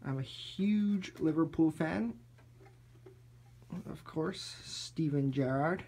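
Young adult male vlogger, a touch high in pitch; informal, low-key home-tour delivery into a handheld camera. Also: takes it slowly, darkish tone, a little hiss or hum. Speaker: gaming YouTuber. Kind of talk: casual home tour vlog. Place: small furnished basement rooms indoors. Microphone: handheld camera's built-in mic.

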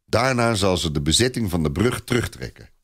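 A man playing a haughty French viscount, lively pitch. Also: fairly quick, slightly rough. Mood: haughty, decisive. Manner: haughty, proclaiming.

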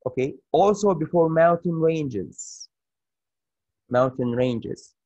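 A man with an Arabic accent teaching an English grammar lesson over a shared screen, pausing often with fillers and restarts. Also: fairly high pitch, animated, a little mellow, dry recording, dull audio. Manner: halting, many fillers and restarts.

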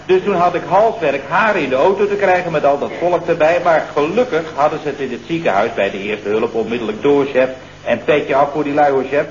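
An actor playing an earnest, naive office subordinate in a radio comedy from around 1970, deferential and prone to stammering. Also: male voice, a little high, brisk, close to an everyday voice. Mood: dutiful, earnest. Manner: stammering, hesitant starts, dutifully reporting. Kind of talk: 1970s Dutch radio comedy acting. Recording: muffled old radio recording, faint noise.

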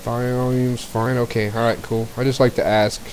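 A man casually talking through his gameplay as a streamer, a little scratchy from a cold, rambling along with fillers and the odd throat clear into a cheap desk mic. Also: medium pitch, little pitch movement, moderate pace, darkish tone. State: getting over a cold, a bit scratchy. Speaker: game streamer.

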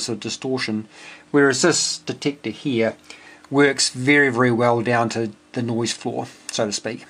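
A man with a New Zealand accent giving a casual, unscripted technical walkthrough as a radio hobbyist, hesitant and speaking in short phrases. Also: mid-range voice. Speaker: amateur radio hobbyist presenting his homebuilt receiver. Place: indoors at a home workbench. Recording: clearly noisy home video with clicks.

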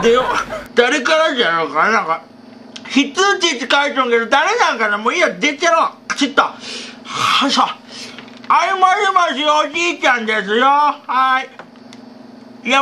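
A man's voice: very high-pitched, bright, forceful tone, slight background noise, full character voice. A man doing a reedy, forgetful old grandpa. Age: older. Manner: mumbling, talks to himself, chuckles at his own jokes. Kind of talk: solo comedy sketch.

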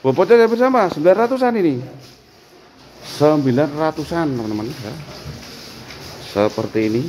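A man's voice: a little high, expressive, moderate pace, a little mellow, poor audio. Vlogger, man, casual tour host who repeats every answer, faint background hiss. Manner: chatty, asks short questions and repeats answers back. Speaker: vlogger touring a cattle farm. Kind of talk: casual walk-through vlog with interview. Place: outdoor open-sided cattle barn.

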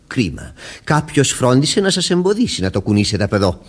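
A meddlesome older gentleman in a radio play. He is theatrical and mannered, with a mid-pitched voice that rises and falls dramatically. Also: big pitch swings, husky. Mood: sly, polite. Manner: mannered, exclaiming, repeats words. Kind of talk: Greek radio drama acting.

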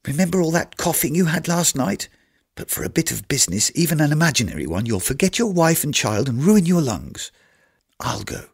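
A man voicing a calm, scolding shopkeeper's wife. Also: a little high, brisk, vocal fry, breathy edge, pitched above the performer's own voice, heavily acted. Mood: dismissive. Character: calm, sharp-tongued wife of the coal merchant.

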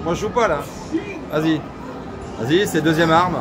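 A man's voice: on the high side, moderate pace, crowd noise. A man vlogging casually and enthusiastically, using informal slang. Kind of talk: casual chat to camera. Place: large indoor arcade. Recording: loud arcade music and machine din behind.

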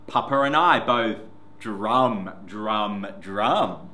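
A man hosts a children's story time with a bright, clear-cut delivery and very lively, sing-song pitch. He takes his time over the simple words for small listeners. The room adds an echo, with faint noise behind him. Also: very slow. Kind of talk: picture book read-aloud for young children. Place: indoor classroom reading corner.

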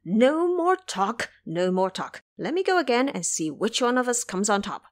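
A woman voicing a brash, hot-tempered male monkey warrior in an audio drama, shouting and defiant. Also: on the high side, big pitch swings, moderate pace. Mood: indignant.

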